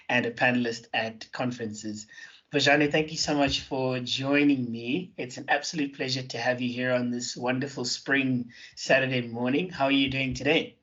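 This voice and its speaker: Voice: mid-range voice, muffled recording. Effusive podcast host. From Johannesburg, South Africa. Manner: warm, effusive, with many fillers and repeated words. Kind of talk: interview hosting.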